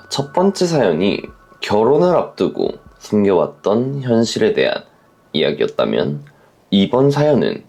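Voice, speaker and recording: A man hosting a letter-reading show, delivering scripted, reflective advice commentary in a polite, measured, earnest manner. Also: sits low, creaky, a little mellow.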